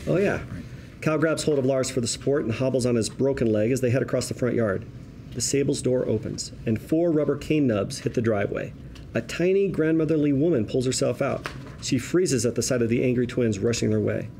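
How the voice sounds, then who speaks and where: A man narrates a screenplay's action in scripted present tense at a table read. His medium-pitched delivery is vivid and wry, with some noise in the room. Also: brisk, vocal fry, dark tone. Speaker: stage directions reader.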